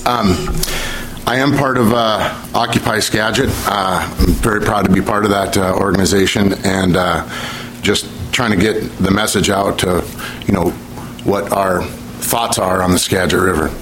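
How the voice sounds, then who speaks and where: A man in his thirties from Washington State speaks up at a public meeting without using the mic. His voice sits low and his speech is earnest, halting and full of fillers. Faint room noise sits under his words. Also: steady tone, fairly bright tone, poor audio. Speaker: public commenter. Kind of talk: public comment at a commission meeting. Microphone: speaking away from a mic.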